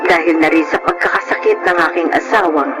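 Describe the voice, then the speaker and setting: A woman's voice: low voice, brisk, music in the background, natural voice. A woman playing a devoted nanny and mother in a Filipino radio drama, with a Filipino accent. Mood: reflective. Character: devoted nanny and mother telling her life story.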